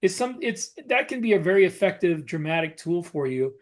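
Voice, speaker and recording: A man co-hosting a podcast, explaining his points conversationally in short, deliberate phrases. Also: high voice, very animated. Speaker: podcast co-host and novelist. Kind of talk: casual explanatory discussion.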